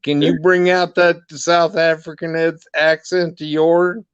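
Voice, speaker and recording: Guest, man from the southern US, smooth voice, muffled dry lo-fi line. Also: a little high, little pitch movement, measured pace, dry recording. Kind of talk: casual chat.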